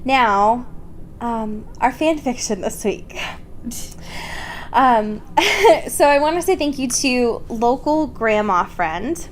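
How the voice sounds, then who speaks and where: Female podcast co-host, giggly and casual, unhurried delivery, noisy recording. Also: fairly high pitch, expressive. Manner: giggly, informal, swears casually. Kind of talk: casual chat and reading fan fiction aloud.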